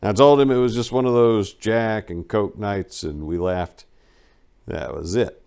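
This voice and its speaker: Male narrator with a lower-pitched voice for a dramatic memoir reading; wry, animated storyteller. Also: a little slow, clear, resonant tone. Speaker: audiobook narrator.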